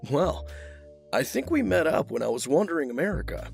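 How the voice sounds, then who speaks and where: An actor playing a wealthy, guarded, dry-witted man in an audio drama, speaking in terse, measured lines. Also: fairly high pitch, big pitch swings, creaky, faint music behind. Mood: probing, courteous.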